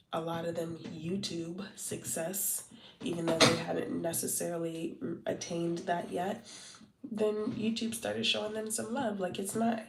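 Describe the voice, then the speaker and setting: A woman's voice: on the low side, creaky, noisy background. A congested, wheezy woman in her thirties from Southern California, chatting casually and loosely on a late-night livestream, full of fillers and restarts. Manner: rambling, many fillers and restarts. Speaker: content marketing livestreamer. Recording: roomy, distant from the mic.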